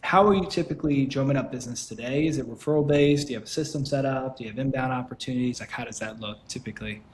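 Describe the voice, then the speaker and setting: A man hosting an interview, casual and enthusiastic, often chiming in with agreement. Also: mid-range voice. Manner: enthusiastic, many quick agreements. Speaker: podcast host. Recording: roomy, faint background noise.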